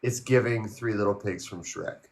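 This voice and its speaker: A man co-hosting a casual chat, relaxed and a little hesitant. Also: on the low side, normal speed, vocal fry. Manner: relaxed, hesitant, uses fillers. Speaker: co-host.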